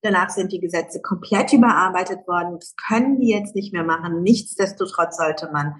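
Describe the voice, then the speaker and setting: A woman's voice: lowish voice, fairly quick, rough recording. A middle-aged woman, a guest entrepreneur, talking casually in an interview, with many fillers and easy laughs.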